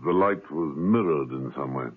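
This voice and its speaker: An actor playing a suave, calm male secret agent with a wry manner in a 1970s radio drama, on tinny old radio tape. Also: very deep voice, normal speed, slightly rough.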